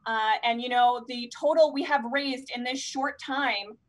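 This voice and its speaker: A woman's voice: a little high, a little slow. A young woman hosting a live fundraiser over video call, effusively thanking donors by turn. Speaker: fundraising host. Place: indoors, plain room at home. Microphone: handheld mic on video call.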